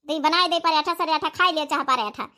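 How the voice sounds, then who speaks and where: A woman's voice: high voice, brisk, bright, forceful tone. A woman voicing a scolding village mother in a cartoon comedy, with an Awadhi accent and a nagging, repetitive way of talking. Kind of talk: cartoon comedy dialect acting.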